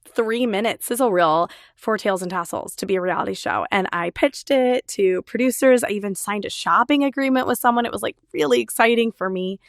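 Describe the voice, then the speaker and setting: An enthusiastic woman hosts her own podcast, talking chattily straight to her listeners. Her voice is a little raspy from a lingering cold. The sound is close and dry, with no room around it. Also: medium pitch, a little fast, few pauses, dry recording. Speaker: podcast host. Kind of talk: solo podcast monologue.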